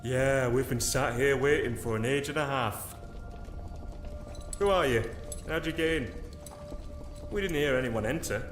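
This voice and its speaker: A man's voice: mid-range voice, a little slow, long pauses, natural voice. A man playing a grumpy, sceptical member of a ghost-hunting TV crew in an audio drama. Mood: irritated. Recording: roomy echo, music behind.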